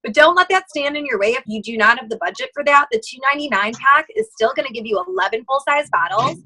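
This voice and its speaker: Bright, high-voiced woman giving an encouraging pitch. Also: expressive, fast, low-quality recording. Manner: earnest, encouraging. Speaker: sales team member. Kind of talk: casual motivational sales pitch. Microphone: conference call.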